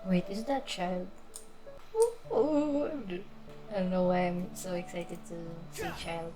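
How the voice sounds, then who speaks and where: Slow-paced woman streaming, lowish voice. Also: expressive. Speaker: game streamer. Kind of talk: casual reactions to a game. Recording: game audio and music behind.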